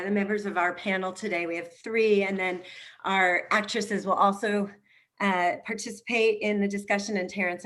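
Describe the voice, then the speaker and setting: A woman hosting an online panel on a video call, reading out introductions of the speakers. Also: mid-range voice. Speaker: host and moderator.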